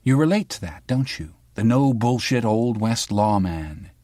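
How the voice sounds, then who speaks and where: A man reading aloud and voicing a sharp-tongued young woman reporter who fires pointed, needling questions. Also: fairly low pitch, expressive, a little slow, no echo, pitched above the performer's own voice. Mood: probing.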